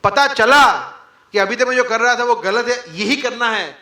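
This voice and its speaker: A man with a high, ringing voice speaks to a live audience at a spiritual class. He answers their points with rhetorical questions and playful mock quotes. His voice carries through a reverberant hall. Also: normal speed, pushed and bright. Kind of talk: interactive spiritual class talk.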